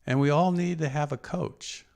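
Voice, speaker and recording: A man's voice: on the high side, fairly even intonation, moderate pace, gravelly, a little mellow. A man hosting a spiritual workshop welcomes the guest in earnest, poetic phrases. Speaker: workshop host.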